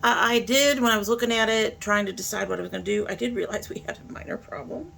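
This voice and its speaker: A woman's voice: medium pitch, steady tone, fast, creaky, a bit bright. A woman talking informally to camera as a beauty vlogger, thinking aloud in a casual makeup review.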